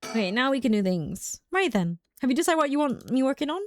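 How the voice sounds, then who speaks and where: A woman's voice: high voice, sing-song, fast, dry recording. A young woman streaming a game at home, chatting casually with viewers, laughing often and filling her talk with "like". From United Kingdom. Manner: chatty and giggly, many "like" fillers.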